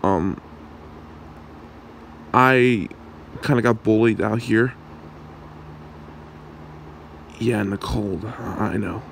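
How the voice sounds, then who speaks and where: A man's voice: lowish voice, creaky, slight background noise. A man playing a shy, awkward young man smitten with his friend, speaking hesitantly in an ASMR roleplay. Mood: flustered, friendly. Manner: hesitant, halting, soft-spoken.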